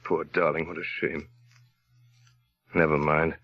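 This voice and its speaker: An actor playing a brusque, sardonic well-to-do gentleman in a 1930s radio drama, with diction and a clipped, imperious, teasing manner. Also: rumbling low, little pitch movement, a little slow, faint music behind. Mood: wry, tender. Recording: dull, lo-fi vintage radio recording.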